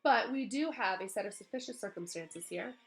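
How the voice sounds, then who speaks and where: A woman's voice: mid-range voice, animated, natural voice. Woman doing a cold, sneering male schoolmaster. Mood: suspicious. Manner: icy, deliberate, sneering. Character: cold, sneering, insinuating male potions teacher.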